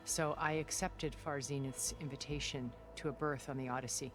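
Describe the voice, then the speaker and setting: A cultured, ancient recluse, measured and regretful. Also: female voice, a bass voice. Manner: calm, deliberate, elegant phrasing. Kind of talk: video game cutscene dialogue. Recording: game cutscene with music underneath.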